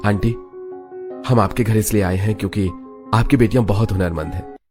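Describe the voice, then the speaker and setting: A man's voice: on the low side, steady tone, normal speed, a little mellow, faint music behind, lightly acted. A man voicing a polite, smitten young suitor in a Hindi cartoon story, earnest and eager. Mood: polite admiration.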